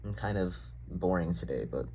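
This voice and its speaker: A man's voice: low voice, animated, normal speed, mellow, a little hiss or hum. A young man rambling casually in a diary-style vlog, full of fillers, swearing and self-deprecating asides. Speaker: vlogger.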